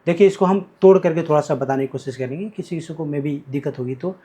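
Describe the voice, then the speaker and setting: A man from Bihar with a voice pitched a little above most men's, talking as a guest teacher. He explains things eagerly, breaking each idea down, and puts real emphasis on his points. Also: fast talker, warm tone. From Bihar, India. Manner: explains by breaking down verses, switches between Hindi and Marathi. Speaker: guest teacher, academy cofounder. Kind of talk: podcast conversation.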